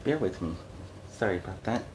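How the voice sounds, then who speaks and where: A man's voice: deep voice, animated. Man hosting story time, noticeable background noise. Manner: halting, informal, chatty with listeners. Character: friendly costumed superhero storyteller. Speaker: children's story-time host. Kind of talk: read-aloud storytelling with casual asides.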